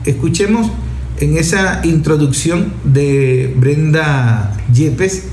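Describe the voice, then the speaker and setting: A Venezuelan man hosting a radio program, explaining things to listeners in a deliberate, didactic way with emphatic pauses. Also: on the high side, a little mellow. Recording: roomy echo, background noise.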